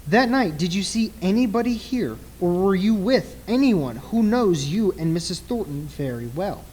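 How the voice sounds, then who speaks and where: A man playing an earnest, grave nightclub mentalist in an old-time radio play, speaking formally and deliberately in a husky voice. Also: sits high, expressive, darkish tone. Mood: grave, insistent.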